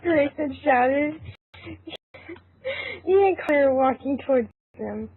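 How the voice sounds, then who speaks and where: Girl narrating a fanfic out loud, pretty high voice, tinny phone call sound. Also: slow, no echo. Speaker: narrator of a fan fiction reading. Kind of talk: amateur story reading.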